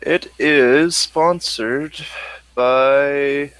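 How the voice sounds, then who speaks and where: Man, game master, unhurried pace, dry close sound, halting improvised narration. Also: medium pitch, fairly bright tone, clear, resonant tone, dry recording. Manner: halting, thinking aloud. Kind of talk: improvised narration of a tabletop role-playing game.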